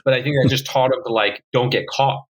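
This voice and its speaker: A man's voice: mid-range voice, steady tone, a little fast, a bit bright, a little hiss or hum, poor audio. A young man in his twenties from New Jersey, a guest falconer telling stories in casual podcast chat, full of likes and ums. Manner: rambling storyteller, many likes and ums.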